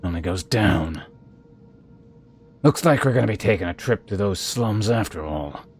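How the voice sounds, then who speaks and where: A man's voice: on the low side, lively intonation, moderate pace, slightly rough, faint music behind. Man putting on a theatrical, wildly swooping grumbler. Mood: grumbling.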